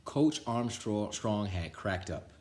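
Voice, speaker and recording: A man reading a children's picture book aloud with an animated, theatrical delivery. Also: on the low side, takes it slowly. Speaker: storytime reader. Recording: roomy, echoing sound.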